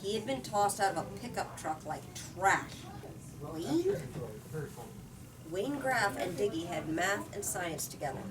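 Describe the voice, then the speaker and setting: A woman's voice: medium pitch, lively intonation, measured pace, a crowd in the background. A woman reading a storybook aloud to listeners and talking about it, with dramatic, emphatic delivery. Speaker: host reading book excerpts aloud. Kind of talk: expressive storybook read-aloud with discussion. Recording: distant mic, noisy room ambience.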